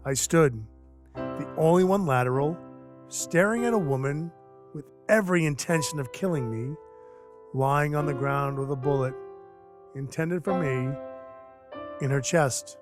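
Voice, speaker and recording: Over clear music, a man plays a sardonic dwarf enforcer narrating an audio drama. His voice sits a little high, and he stays deadpan and mock-polite while telling his rough tale. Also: unhurried, long pauses, close to an everyday voice. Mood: grave, wry. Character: gruff, sardonic male dwarf enforcer for Santa. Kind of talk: first-person audio drama narration with dialogue. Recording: music behind the voice.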